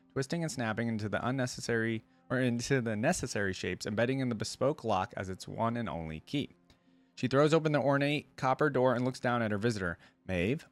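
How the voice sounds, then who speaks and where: A man hosting a reaction video, commenting informally and reading aloud as he thinks out loud. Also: mid-range voice, no room sound.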